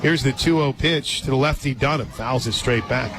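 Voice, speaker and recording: A man doing live radio play-by-play of softball, chatty and informal, drifting into conversational asides between pitch calls. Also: moderate pace. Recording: crowd noise and loud music behind. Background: ballpark crowd, music, outdoor stadium ambience.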